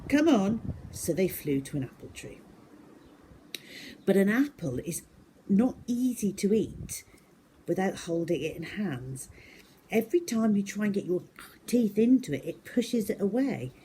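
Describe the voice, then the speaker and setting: A woman telling a story to children in an expressive, emphatic way, addressing young listeners directly. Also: medium pitch, measured pace, long pauses, a little hiss or hum.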